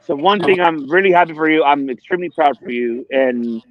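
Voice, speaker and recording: Higher-pitched man in his forties. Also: fairly quick, no room sound, lo-fi sound. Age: 40s. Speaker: stream host. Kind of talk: casual live-stream chat.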